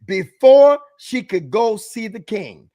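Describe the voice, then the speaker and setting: A man's voice: high voice, expressive, takes it slowly, dark tone. A man as a guest author giving emphatic, sermon-like advice, calling out to the audience with rhetorical questions.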